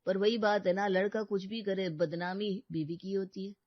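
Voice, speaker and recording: A woman's voice: mid-range voice, fairly even intonation, moderate pace, dry recording, close to an everyday voice. A level-headed young husband in a cartoon story who reasons things out and teases family now and then, put on by a woman narrator. The sound is dull and lo-fi with a dry feel. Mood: earnest. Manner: reasoning, teasing at times.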